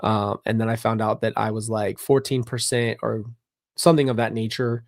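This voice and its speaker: A man giving a personal, somber commentary on a livestream, speaking haltingly and often repeating phrases. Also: on the low side, flat delivery, no room sound, clean studio sound. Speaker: documentary reaction host.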